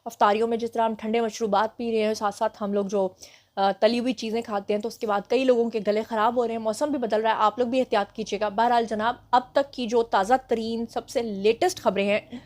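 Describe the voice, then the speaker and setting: A young woman with a Pakistani Urdu accent runs through the day's headlines as a channel host, her voice a little higher than most. She has a sore throat and keeps her pace slow and careful to spare it. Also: steady tone, darkish tone. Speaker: news show host. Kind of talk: casual news roundup for a channel.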